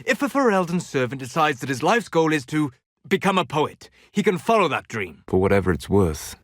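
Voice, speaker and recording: A scholarly male elven mage from a video game. He is melancholy and self-possessed, choosing formal words with deliberate care. The sound is dry, with no room around it. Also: a little high, very animated, a bit bright, no room sound. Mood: grave, measured. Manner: measured, deliberate, formal phrasing. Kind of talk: scripted video game character dialogue.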